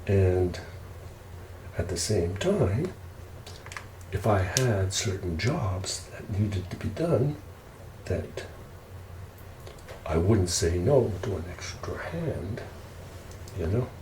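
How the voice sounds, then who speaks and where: A man telling casual ASMR stories in an unhurried, rambling way. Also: low voice, big pitch swings. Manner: unhurried, rambling family reminiscing. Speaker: ASMR storyteller. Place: indoors, roomy space while preparing food. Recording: roomy echo, faint background noise.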